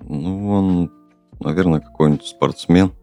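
Very deep gravelly-voiced young man. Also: unhurried, warm tone, faint music behind. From Kazakhstan. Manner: hesitant, many fillers and restarts. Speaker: podcast guest. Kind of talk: casual interview talk.

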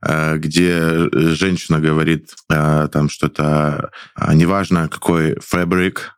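A young man in his twenties, a guest actor in casual studio chat, who talks hesitantly with many pauses, restarts and chuckles. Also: extremely low pitch, flat delivery, clean tone, dry recording. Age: 20s.

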